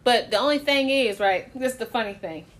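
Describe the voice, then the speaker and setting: Blunt, laughing woman with a high voice. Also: fairly quick. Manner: candid and blunt, swears freely, laughs often. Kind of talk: casual podcast chat.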